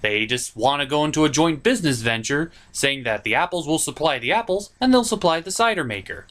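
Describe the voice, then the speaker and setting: A man hosting a scripted cartoon review, speaking in a sarcastic, emphatic way with drawn-out stresses. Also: a little high, lively intonation, fairly bright tone. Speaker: reviewer, show host.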